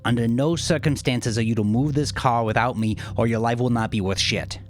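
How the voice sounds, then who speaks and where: A man voicing a curt, threatening male gangster boss in an audiobook, with a gravelly voice. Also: medium pitch, brisk, faint music behind, pitched above the performer's own voice, natural voice. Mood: cold threat.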